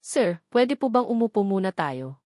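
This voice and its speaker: Woman reading a timid young secretary, polite, hesitant, mid-pitched, dry recording. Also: sing-song, moderate pace, darkish tone, no room sound, natural voice.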